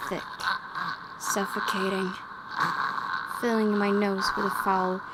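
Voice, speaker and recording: A young woman with a slightly raspy voice, a game streamer who reads a horror visual novel aloud in a hushed, dramatic way and breaks into hesitant asides. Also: mid-range voice, level tone, slow, very noisy. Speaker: let's play host. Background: loud game music.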